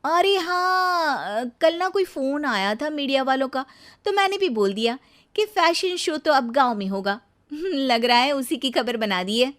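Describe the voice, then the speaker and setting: A woman plays an eager young daughter-in-law for a cartoon story. Her voice sits high and bright with earnest feeling. She sounds determined and expressive, a city-bred woman set on her plans. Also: unhurried. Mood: pleased. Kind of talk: Hindi cartoon story dialogue.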